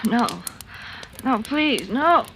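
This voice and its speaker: An actress playing a fragile, haunted clairvoyant in an old radio melodrama, with a breathless, fearful delivery. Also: female voice, on the high side, animated, very slow, fairly bright tone, slight background noise, full character voice. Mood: terrified. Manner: breathless, fearful, hesitant then frantic. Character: terrified clairvoyant stage partner, haunted and fragile. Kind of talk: 1940s radio melodrama acting. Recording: vintage radio broadcast, music behind.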